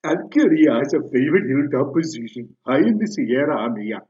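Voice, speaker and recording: A man's voice: mid-range voice, expressive, brisk, mellow, recorded from a distance, muffled recording, natural voice. A man playing a pompous, vengeful tyrant Caliph in a comic radio play. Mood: gloating, commanding.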